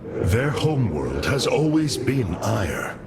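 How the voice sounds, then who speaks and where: An actor playing a noble, resolute alien military leader in a video game, grave, formal and commanding. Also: male voice, medium pitch, big pitch swings, slow, very noisy. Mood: firm, grave. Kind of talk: video game cinematic acting.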